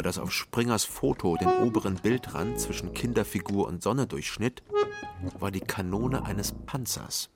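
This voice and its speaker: An actor playing a wry, understated East German private detective in a crime radio drama. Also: male voice, fairly low pitch. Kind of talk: first-person crime radio drama narration and dialogue.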